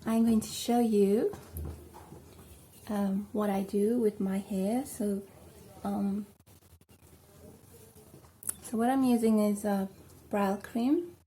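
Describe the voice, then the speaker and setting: A South African woman chatting casually at home while doing her hair, rambling and filling pauses with ums. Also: mid-range voice, steady tone, dark tone, a little hiss or hum. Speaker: vlogger. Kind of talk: casual hair tutorial chat.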